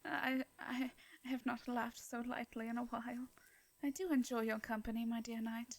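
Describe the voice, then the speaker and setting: A woman with an English accent playing a gentle, courteous vampire in an intimate fantasy audio drama, speaking softly and breathily in formal, hesitant phrases. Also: on the high side, level tone. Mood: amused. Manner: soft breathy delivery, formal old-fashioned diction, hesitant pauses. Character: gentle, courteous female vampire, centuries-worn, wistful yet devious.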